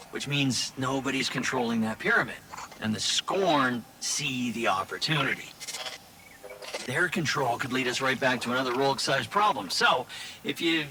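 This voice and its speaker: An actor playing a roguish, irreverent drifter in a video game, talking in a casual, sly, halting way. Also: male voice, medium pitch. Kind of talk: video game character dialogue. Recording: clear background noise and ambience.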